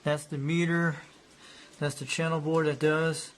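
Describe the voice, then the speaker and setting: Man, casual rambling hobbyist talk, slightly high pitch, faint hiss. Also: flat delivery, unhurried. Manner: rambling, repeats himself, loose grammar. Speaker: hobbyist showing a radio. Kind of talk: casual show-and-tell narration.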